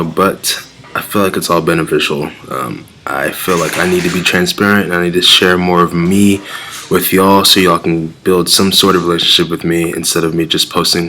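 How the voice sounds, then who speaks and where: A man's voice: low-pitched, pushed and bright. A young man with a Nigerian accent talking casually and earnestly to camera in a fitness vlog, often repeating words as he searches for the next one. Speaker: fitness vlogger.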